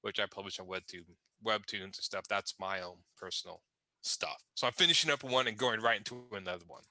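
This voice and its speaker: A man's voice: on the low side, fairly quick, bright, forceful tone, no echo. A man chatting casually as a livestream panelist, introducing himself in a plain, conversational way. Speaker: comic artist, panelist.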